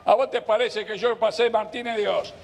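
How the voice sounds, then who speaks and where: Agitated elderly Argentine man, very high-pitched. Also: fairly even intonation, normal speed, vocal fry, long reverb tail, soft background music. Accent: Argentine Rioplatense. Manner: agitated, argumentative, repeats himself. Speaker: textile merchant being interviewed. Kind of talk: heated live TV interview. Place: inside a clothing shop. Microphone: handheld reporter microphone.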